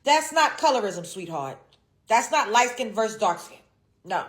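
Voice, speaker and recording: A woman's voice: a little high, sing-song, normal speed. A woman host giving casual, opinionated reaction commentary in an emphatic, sassy manner, leaning hard on stressed words.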